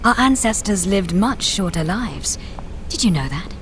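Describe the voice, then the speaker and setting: A woman's voice: mid-range voice, lively intonation, a little hiss or hum, lightly acted. A woman playing a serene young nurse in a religious order in an audio drama, giving a polished, informative tour. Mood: proud, informative. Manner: polished, measured, informative tour-guide delivery. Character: serene young nun-nurse guiding visitors through laboratory. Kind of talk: scripted audio drama dialogue. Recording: music behind the voice.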